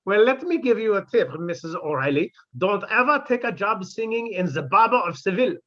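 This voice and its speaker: A man's voice: sits high, expressive, a little fast, pushed and bright. A man playing a sardonic, scheming older professor who delivers droll wisecracks in a 1950s-style radio comedy. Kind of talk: 1950s radio sitcom acting. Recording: dull lo-fi sound.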